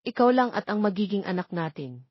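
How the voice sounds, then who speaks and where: A woman's voice: medium pitch, big pitch swings, a little fast, husky, no room sound. A Filipino woman plays a devoted young male politician in an audiobook romance, earnest and pleading with the one he loves. The sound is close, dry and a little dull. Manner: pleading, sincere.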